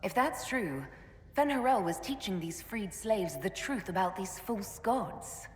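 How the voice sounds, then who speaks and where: An actress playing a composed, resolute female leader of a fantasy order in a video game, speaking in a measured, earnest way. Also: mid-range voice, animated, close to an everyday voice. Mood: explaining. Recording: roomy echoing hall, faint background noise.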